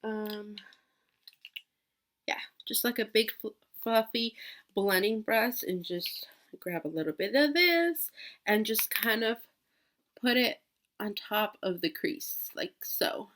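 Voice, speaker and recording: A woman's voice: medium pitch, animated, slow, long pauses, a bit bright. A woman in her twenties, sick with a cold, chatting casually through a makeup tutorial with many fillers and restarts. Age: 20s. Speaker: beauty vlogger.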